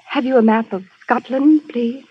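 A woman's voice: fairly high pitch, normal speed. A frightened woman spy. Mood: tense, secretive. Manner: urgent, secretive, breathy. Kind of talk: 1930s radio drama acting. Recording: dull old radio broadcast.

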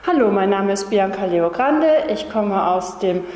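A woman from Lower Saxony, a nursing student, introducing herself on camera into a handheld mic. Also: animated, measured pace, darkish tone, roomy sound, big-room sound. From Lower Saxony, Germany.

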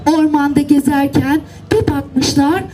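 A woman with a high voice tells a children's tale at a slow pace. She repeats phrases and coaxes young listeners with simple instructions. A faint crowd murmurs behind her at a live event. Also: mellow. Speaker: children's storyteller. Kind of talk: animated storytelling and directing children in acting out a tale. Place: outdoor seaside children's event. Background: faint crowd and ambient noise.

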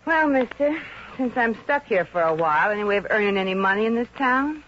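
A woman's voice: a little high, animated, fairly quick, a bit bright. An actress playing a plucky, wisecracking, broke showgirl in a 1950s radio comedy, with a brassy, wry, slangy way of talking. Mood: sassy. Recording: dull, noisy 1950 radio recording.

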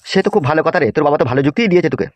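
A man's voice: on the high side, expressive, rapid-fire, natural voice. A man playing a bossy, scheming, foul-mouthed gangster politician in a comedy cartoon. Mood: falsely kind. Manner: bossy, slangy, mock-sweet when coaxing.

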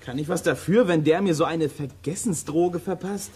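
A man's voice: fairly high pitch, moderate pace, a little mellow, natural voice. An actor playing a cocky, stubborn boy detective in a children's radio play, talking in a slangy, flippant way.

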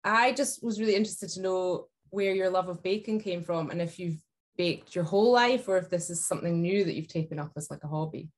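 A woman's voice: on the low side, brisk. A woman, a podcast co-host asking friendly questions over a video call. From Scotland. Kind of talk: casual interview questions. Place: indoor room at home. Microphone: video call webcam.

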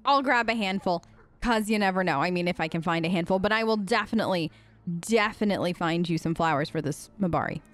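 A woman's voice: fairly low pitch, quick pace. A woman role-playing casually through a video game, chatty, earnest and informal. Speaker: let's play host role-playing as an elf character. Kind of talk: casual improvised role-play game commentary.

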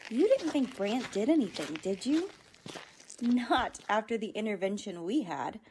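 A woman's voice: on the high side, big pitch swings, unhurried, a little mellow, slight background noise. A woman playing an impatient cast member in a comic backstage skit. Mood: impatient, chiding. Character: impatient young stage colleague hurrying the cast.

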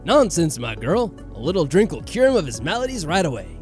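A man voicing a jolly, hearty old bush pilot uncle in a video game, booming and folksy. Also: very animated, fairly quick, faint music behind.

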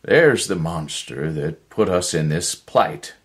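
A man's voice: low-pitched, expressive, slow. A man voicing a grim male scientist in a story, speaking in a grave, explanatory manner.

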